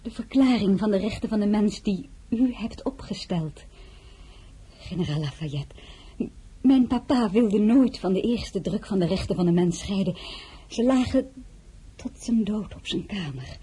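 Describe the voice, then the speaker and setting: An actress playing a young crown princess in a radio play, who also narrates in the first person in a hushed, half-whispered way. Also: female voice, mid-range voice, lively intonation, unhurried, breathy edge. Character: young French-born crown princess, proud and composed. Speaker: narrator and lead character.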